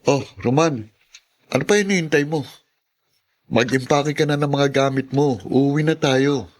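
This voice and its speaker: A man's voice: on the high side, unhurried, lightly acted. A man playing a cautious, earnest young man who scolds, in a radio drama. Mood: firm, annoyed. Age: young adult. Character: cautious, easily embarrassed young man, worried grandson.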